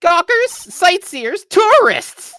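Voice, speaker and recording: A cranky old woman guard in a game dub, extremely high and sharp. The voice blusters and scolds, swooping wildly from note to note. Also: very animated, takes it slowly, full character voice. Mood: indignant scolding. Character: loud, cantankerous old female studio security guard. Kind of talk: live fan voice-over of a video game.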